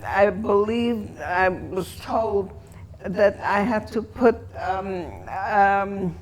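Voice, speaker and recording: An elderly woman from Antwerp whose first language is German, reminiscing in an interview and searching for her words with long pauses. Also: mid-range voice, slight background noise. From Antwerp, Belgium.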